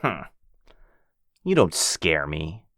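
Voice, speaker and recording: Man doing a flirty male werewolf, teasing, possessive, low-pitched, unhurried, dry close sound. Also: big pitch swings, a bit bright, no room sound, full character voice. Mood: playful.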